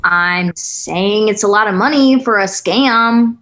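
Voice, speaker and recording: A woman plays a frank young woman with a medium-pitched voice, challenging whoever faces her. It is scripted dialogue from a table read over a video call. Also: takes it slowly, fairly bright tone. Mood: pressing. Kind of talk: screenplay table read acting. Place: plain indoor room, video call.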